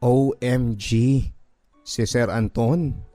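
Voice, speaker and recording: A man's voice: medium pitch, steady tone, drawn-out words, warm tone, dry recording. Guy doing a shy smitten young model girl, stammery and giddy, radio drama w music under it. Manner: stammering, giddy, shyly polite.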